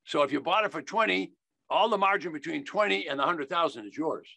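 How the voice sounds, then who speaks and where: An older American man with a fairly high, creaky voice and a sharp edge. He teaches like a folksy salesman, hammering key phrases. The sound is dry and close, from a video call. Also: lively intonation, fairly quick, dry recording. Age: older, 70s. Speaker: investing educator. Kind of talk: instructional sales talk.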